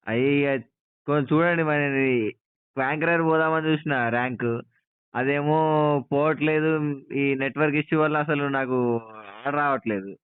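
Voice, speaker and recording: A man's voice: on the high side, moderate pace, pauses often, clear, resonant tone, no room sound. A man with a Telangana Telugu accent calling a helpline as a customer, making a casual, plaintive and insistent complaint. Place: on a phone line. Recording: tinny, narrow phone call recording.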